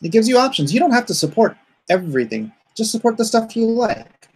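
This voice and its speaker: A man's voice: high voice, big pitch swings, fairly quick, darkish tone, breathy edge. A man hosting a livestream, chatting casually and reading viewer comments aloud in a rambling, joking way. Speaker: comic artist hosting a livestream.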